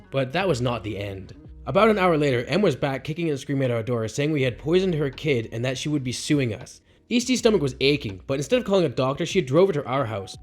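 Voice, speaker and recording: Animated man reading Reddit stories. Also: mid-range voice. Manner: expressive, reactive, laughs between stories. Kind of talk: reading online stories aloud with reactions.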